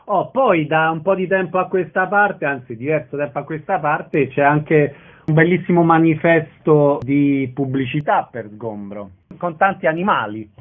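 Male host, fairly high voice, casual interviewing, tinny band-limited radio audio. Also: normal speed.

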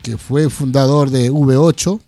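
A man chatting casually as a programme host, haltingly and often repeating words. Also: mid-range voice, steady tone, warm tone, faint music behind. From Ecuador. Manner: hesitant, repeats words as they go. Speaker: host of a heavy metal radio programme. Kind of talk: casual interview chat.

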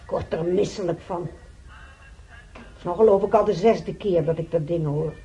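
On a dull radio drama recording, a complaining woman patient. Her voice is husky and soft-edged, and she grumbles with sarcastic indignation. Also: fairly low pitch, normal speed, faint music behind. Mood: irritated grumbling. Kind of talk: Dutch radio play acting.

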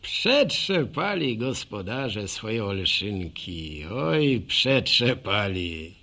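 Gravelly old Polish village storyteller. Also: male voice, lowish voice, sing-song, extremely slow, bright, forceful tone, exaggerated voice. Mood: wry, amused. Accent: rural Polish. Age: older. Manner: rambling, colloquial, wry. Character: folksy older village man, chatty, wry storyteller. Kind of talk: radio drama monologue, homespun storytelling.

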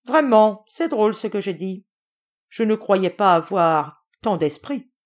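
A woman voicing a kindly but gruff old general, blunt and wry, in a storybook reading. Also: mid-range voice, lively intonation, unhurried, pitched above the performer's own voice, natural voice. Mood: dry, cold.

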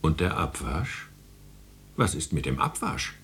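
An actor playing a sly, teasing host from the beyond in a radio play, theatrical and mock-solemn, with a very deep, gravelly rasp. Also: male voice, sing-song, unhurried, fairly bright tone, a little hiss or hum, exaggerated voice. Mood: creepy coaxing. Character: sly, teasing otherworldly host interviewing a dead housewife. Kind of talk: German radio play acting.

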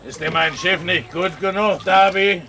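A man playing a brash, domineering pirate captain in a radio play, gruff, commanding and mocking. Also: high voice, fairly even intonation, normal speed, sharp tone, slight background noise. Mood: gruff, teasing. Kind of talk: German radio play acting.

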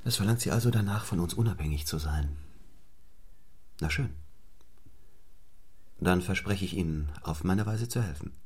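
Deep-voiced, stern young clergyman. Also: a little mellow, slightly rough. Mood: probing, insistent. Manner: measured, formal, probing, commanding. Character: stern, ambitious young English clergyman, restless and unyielding. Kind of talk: German radio play acting.

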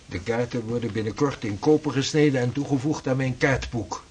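An actor playing an earnest 16th-century navigator and mapmaker who talks in a measured, formal way. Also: male voice, medium pitch, a little mellow, a little hiss or hum, natural voice. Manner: measured, formal, old-fashioned speech. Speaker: seafaring cartographer. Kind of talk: radio drama acting.

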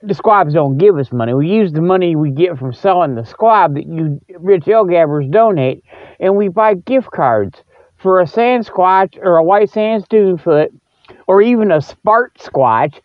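A man with a notably high voice hosts a loose, rambling comic radio monologue. His delivery is folksy and colloquial, teasing the listener as he goes. The sound is dull and lo-fi, with very little room echo. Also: lively intonation, unhurried. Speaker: radio show host. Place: cold spot, apparently outdoors.

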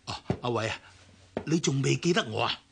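An actor playing a kindly, anxious older uncle in a Cantonese radio drama, soothing and insistent, with a Hong Kong accent. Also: male voice, mid-range voice, animated, fairly quick, gravelly, background noise. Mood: fussing, kindly. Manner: soothing, fatherly, hesitant.

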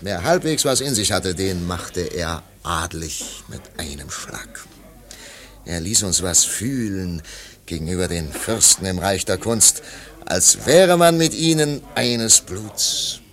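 An actor in a German radio play voicing a disillusioned, wistful male artist who talks in a theatrical, halting way. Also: low-pitched, expressive, a bit bright, exaggerated voice. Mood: nostalgic, reverent.

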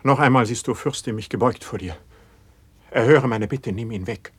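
An actor playing a humble, fervent young court poet in a classical radio verse drama, declaiming solemnly with deep feeling. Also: male voice, slightly rough, no room sound, natural voice. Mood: agitated, pleading. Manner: solemn, impassioned, measured declamation.

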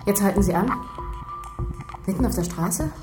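A woman's voice: medium pitch, expressive, normal speed, close to an everyday voice. Alert policewoman in an echoey room woman acting. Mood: focused, hopeful. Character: alert, hopeful female police investigator. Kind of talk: radio crime drama acting. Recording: roomy echo, music underneath.

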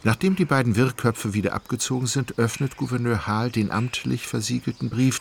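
A man's voice: lowish voice, gravelly, a little hiss or hum. A man reading scripted literary narration as the narrator of a radio drama.

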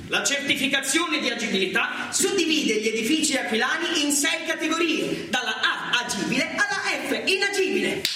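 A man's voice: very high voice, bright, forceful tone. A man narrating a theatrical monologue in a dramatic, emphatic manner. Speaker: stage actor and narrator. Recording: very echoey theatre, distant mic, faint noise.

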